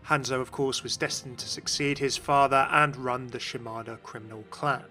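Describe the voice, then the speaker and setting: Bright-voiced man narrating. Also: mid-range voice, steady tone, normal speed, faint music behind. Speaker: video host. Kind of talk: scripted explanatory narration on game lore.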